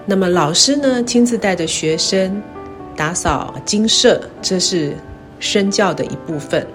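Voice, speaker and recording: A middle-aged woman with a Taiwanese Mandarin accent gives a scripted, reflective talk in measured, careful phrases. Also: medium pitch, animated. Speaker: university president. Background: background music.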